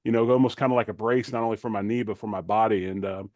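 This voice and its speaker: A man's voice: on the low side, steady tone, fast, a little mellow, no room sound, clean studio sound. A middle-aged man, a former player turned commentator, giving conversational sports analysis in an informal, plain-spoken way.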